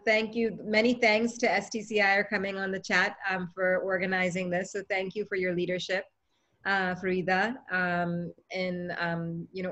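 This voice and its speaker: A woman's voice: on the low side, fairly bright tone. A woman moderating a webinar panel, thanking speakers politely over a video call.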